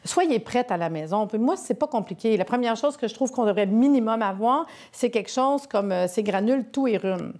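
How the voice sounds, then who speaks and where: A woman's voice: fairly high pitch, animated, normal speed, hardly any pauses, a little mellow. A woman with a Québécois accent presenting a sponsored health segment, chatty and persuasive. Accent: Québécois French.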